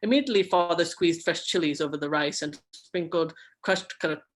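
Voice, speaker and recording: Indian American male author, fairly high voice, earnest flowing delivery over a video call. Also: normal speed, a bit bright. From United States, Indian American. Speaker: author on an online panel. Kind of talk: self-introduction and reading from his fiction.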